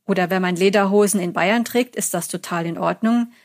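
A woman's voice: medium pitch, a little fast. A German woman in her thirties, a psychology lecturer, answering an interview in a chatty way and telling stories with quoted examples.

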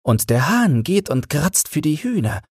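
A man's voice: fairly high pitch, very animated, a little slow, darkish tone, slightly rough, dry recording, clean studio sound, pitched above the performer's own voice, full character voice. A man voicing a sweet young fairy girl full of wonder in a storybook, with a lilting delivery. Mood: bright wonder.

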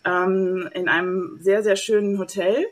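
A woman's voice: mid-range voice, fairly even intonation, unhurried. A woman co-hosting a podcast, opening the episode in a chatty, hesitant way with many fillers. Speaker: podcast co-host. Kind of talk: casual conversational interview.

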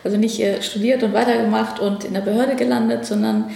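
A woman's voice: fairly high pitch, steady tone, quick pace, creaky, dark tone, echoey room, slight background noise. A woman from Afghanistan, a ministry division head, answering interview questions thoughtfully with frequent hesitations. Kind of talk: conversational interview. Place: office at a federal ministry.

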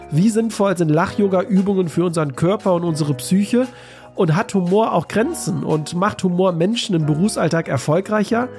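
A man's voice: sits high, darkish tone. A man from Germany hosting a health podcast. He reads a scripted intro and then asks his guest friendly questions, with many "äh" fillers. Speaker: podcast host.

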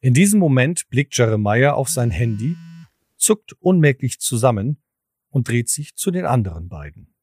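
A man's voice: mid-range voice, big pitch swings, normal speed, a little mellow, slightly rough, no room sound. A man narrating a scripted audio drama as its storyteller.

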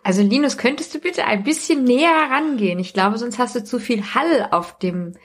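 A woman's voice: fairly high pitch, normal speed, husky. A woman co-hosting a casual comedic podcast with playful, mock-puzzled banter. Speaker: podcast co-host.

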